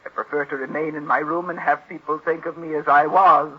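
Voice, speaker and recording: An actress playing a bitter, imperious, reclusive old invalid aunt in a 1940s radio drama, curt and suspicious. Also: female voice, low voice, fairly even intonation, fairly quick, husky. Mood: stubborn, irritated. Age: older. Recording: muffled vintage radio drama recording.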